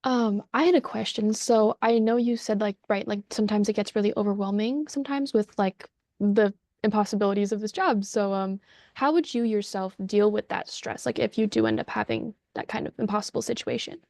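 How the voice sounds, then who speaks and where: A woman's voice: mid-range voice, steady tone, brisk, gravelly, darkish tone, no room sound, clean studio sound. A woman asking questions as an interviewer in an informal, slightly tentative way, often saying "like".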